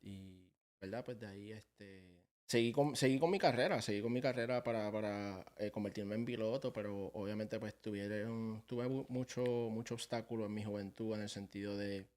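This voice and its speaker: A young Puerto Rican man with a Puerto Rican accent, telling his story as a podcast guest in a halting way full of fillers. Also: fairly low pitch, a little mellow. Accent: Puerto Rican Spanish. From Puerto Rico. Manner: halting, many fillers and tag questions. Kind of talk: casual interview talk.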